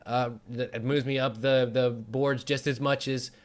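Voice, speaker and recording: A man's voice: medium pitch, flat delivery, normal speed. A man hosting a casual conversational interview, talking in an encouraging, reassuring and jokey manner. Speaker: interview show host.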